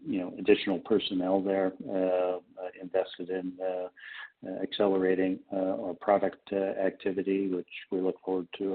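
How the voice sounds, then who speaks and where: A male company executive answering questions on an earnings call in a halting, hesitant manner with broken phrasing. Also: low voice, husky. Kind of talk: unscripted business question-and-answer. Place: conference call line. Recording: muffled, band-limited conference call audio.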